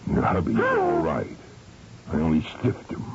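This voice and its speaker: A man's voice: lowish voice, wildly swooping pitch, measured pace, vocal fry, crowd noise, heavily acted. An American actor playing a hard-boiled, menacing gunman in a 1970s radio thriller, curt, slangy and sardonic. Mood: curt, commanding. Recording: dull, noisy old radio recording.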